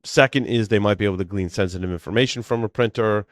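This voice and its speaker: A man hosting a casual tech podcast, talking in an animated way with many restarts and asides. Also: on the low side, quick pace, no echo, clean studio sound. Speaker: podcast host.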